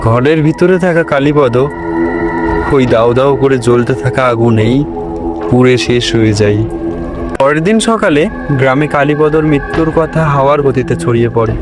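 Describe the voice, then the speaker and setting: A man's voice: medium pitch, normal speed. A man narrating a scripted folk tale for a cartoon as its storyteller. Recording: loud background music.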